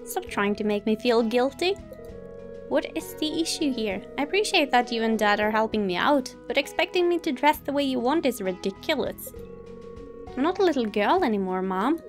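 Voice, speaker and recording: A woman's voice: on the high side, lively intonation, normal speed, faint music behind, lightly acted. A woman reading out a defiant, fed-up young daughter from a video game. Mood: indignant.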